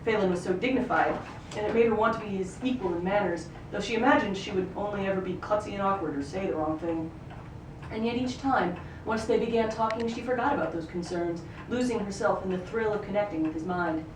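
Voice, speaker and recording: Female reader for literary fiction, expressive third-person storytelling, recorded off-mic in a roomy space. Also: mid-range voice, a little fast. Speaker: actor reading a book excerpt. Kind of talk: live literary reading, third-person narration. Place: live reading in a roomy venue. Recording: roomy, distant microphone, noisy ambience.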